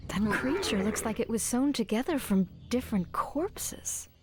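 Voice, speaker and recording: An actress playing a poised, thoughtful young sorceress in a video game, composed and articulate. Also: female voice, a little high, very animated, a little slow, noisy background. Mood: analytical. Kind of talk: video game cutscene acting.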